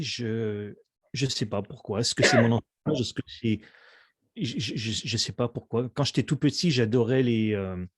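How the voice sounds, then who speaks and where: A man's voice: lowish voice, a little mellow, no echo. Hesitant man, dry sound. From Quebec, Canada. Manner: hesitant, many fillers and restarts. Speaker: musician guest. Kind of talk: casual interview chat.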